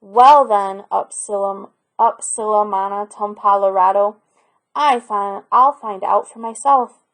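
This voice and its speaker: A woman's voice: medium pitch, unhurried, lightly acted, rough recording. A woman voicing a spirited, inquisitive old grandmother in a storybook read aloud.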